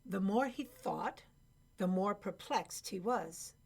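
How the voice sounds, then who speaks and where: A woman's voice: medium pitch, slow, gravelly, husky. A woman reading scripted narration for a radio play.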